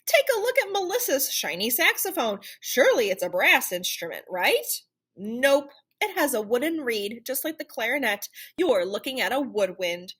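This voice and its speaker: A woman reading a children's picture book aloud in an animated, theatrical storytelling manner. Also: on the high side, normal speed, breathy edge. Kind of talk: expressive children's read-aloud narration.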